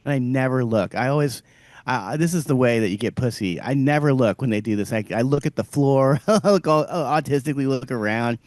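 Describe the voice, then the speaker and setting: A man's voice: mid-range voice, fairly quick, darkish tone, no echo. A man co-hosting a podcast, talking in crude, rambling casual chat and laughing often. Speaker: podcast co-host.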